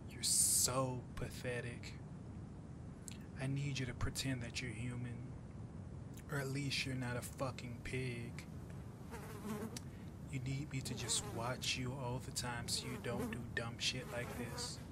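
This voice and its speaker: A man voicing a harsh, domineering, mocking woman in an ASMR roleplay, swearing scornfully with drawn-out emphasis. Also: fairly even intonation, natural voice. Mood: contemptuous, commanding. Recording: loud buzzing insect noise, low rumble. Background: buzzing flies sound effect.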